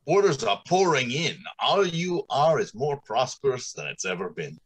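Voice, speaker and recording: A man plays a middle-aged factory director in an old-time radio drama. He is confident and dismissive, with a husky voice and very lively intonation. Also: medium pitch, moderate pace. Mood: breezy assurance. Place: small room at home, on a video call.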